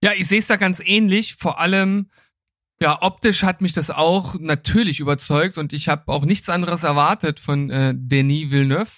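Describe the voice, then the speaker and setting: A man's voice: fairly high pitch, steady tone, moderate pace, a bit bright, no room sound, studio quality. A man hosting a casual film chat, easygoing and hesitant with fillers. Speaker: host.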